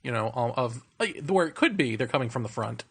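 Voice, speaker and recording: A man on a casual podcast with a mid-range voice. He replies in a measured, thoughtful way. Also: medium pitch, animated, a bit bright, slightly rough. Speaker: co-host.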